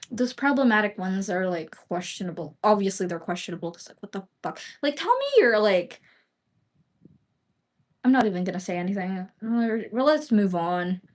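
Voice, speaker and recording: A woman's voice: mid-range voice, animated, moderate pace. A woman from the Philippines, a Bisaya speaker, chatting casually on a livestream and rambling with lots of fillers and casual swearing.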